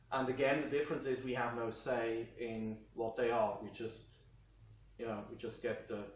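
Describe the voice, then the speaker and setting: A man's voice: mid-range voice, recorded from a distance, slight background noise. Swiss-accented man in an echoey room. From Switzerland. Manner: earnest, uses many fillers and pauses. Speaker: commentator explaining politics. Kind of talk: direct-to-camera explainer talk.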